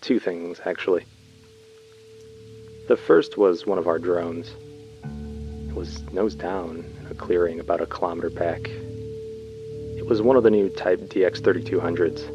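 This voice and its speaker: A man's voice: deep voice, measured pace, pauses often, warm tone, dull audio, faint music behind. A man playing a weary, uneasy colonist recording a subdued, brooding field message in a sci-fi audio drama. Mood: tense, grim.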